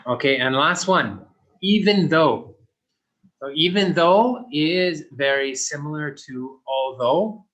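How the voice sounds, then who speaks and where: A man teaching a grammar lesson to young learners, speaking simply and deliberately. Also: on the high side, expressive, a bit bright. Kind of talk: slow, deliberate recorded English lesson.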